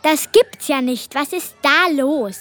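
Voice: high-pitched, slow pace, a bit bright. A child playing schoolgirl and headmistress parts in a children's audio play, in an exclamatory manner. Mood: excited.

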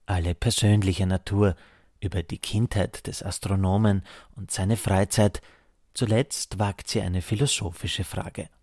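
Low-voiced man narrating radio. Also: fairly even intonation, a little slow, darkish tone, breathy edge, no echo, studio quality. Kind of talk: scripted radio documentary narration.

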